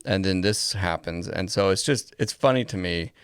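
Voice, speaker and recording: A man's voice: low voice, moderate pace, clean tone, no room sound, studio quality. A man co-hosting a podcast, talking casually and conversationally as he makes his points. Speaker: podcast co-host.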